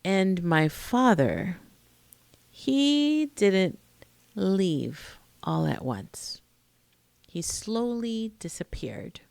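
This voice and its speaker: American woman late 40s talking to camera, slow and expressive, really dry close sound. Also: fairly low pitch, vocal fry, no room sound. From Queens, New York, United States. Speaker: vlogger, emotional intimacy coach. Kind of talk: personal storytelling to camera.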